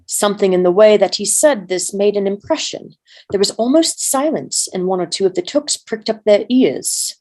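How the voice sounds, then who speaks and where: A woman with a medium-pitched voice narrates a fantasy tale. She reads aloud in a measured way, as a volunteer storyteller would. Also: dark tone. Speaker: volunteer reader.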